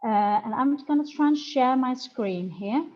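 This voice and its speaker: A woman's voice: on the high side, expressive, measured pace. Danish woman presenting over a muffled call. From Denmark. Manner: friendly, chatty, with frequent fillers. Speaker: presenter for a youth homelessness alliance. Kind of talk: online presentation. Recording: muffled online call audio.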